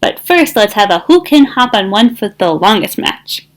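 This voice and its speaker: A woman voicing a bossy, boastful young female duck in a storybook, excitable and commanding. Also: medium pitch, normal speed, lightly acted. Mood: bossy, eager.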